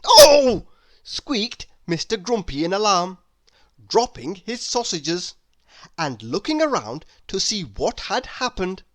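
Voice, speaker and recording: A woman reading a children's storybook aloud as an animated narrator. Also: medium pitch, slow pace, no room sound. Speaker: storybook reader.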